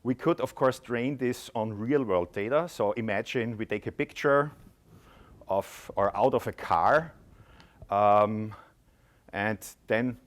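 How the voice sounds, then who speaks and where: A man's voice: fairly low pitch, slow pace. A man with an Austrian accent presenting a conference talk, explaining earnestly with frequent filler sounds. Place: conference hall.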